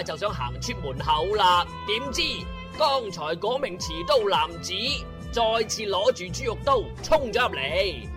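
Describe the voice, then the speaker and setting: A colloquial, teasing storyteller, narrating a comic radio drama with drawn-out emphasis. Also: mid-range voice. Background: music.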